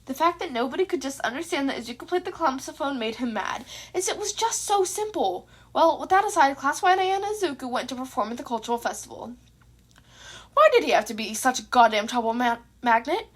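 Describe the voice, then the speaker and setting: A young woman with a high voice reads a fan story aloud. Her delivery is expressive and informal, like an amateur podfic narrator talking straight to listeners. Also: brisk. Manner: informal, sweary. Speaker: fan fiction writer and narrator.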